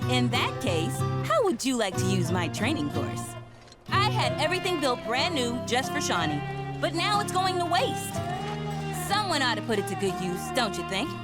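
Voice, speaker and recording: A woman playing an upbeat, folksy middle-aged mother in a video game, pitching her racing course with enthusiasm. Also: sing-song, moderate pace. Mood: eager. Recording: loud music behind the voice. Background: loud game music.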